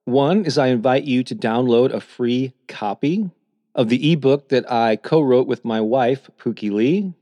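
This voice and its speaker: A middle-aged man gives a calm, encouraging self-help talk that he wrote and reads himself. His voice sits in an ordinary middle range, neither high nor low, and he speaks at an unhurried pace. The recording is close and dry, with no room sound. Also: dry recording. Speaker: self-help podcast host. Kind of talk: scripted motivational narration.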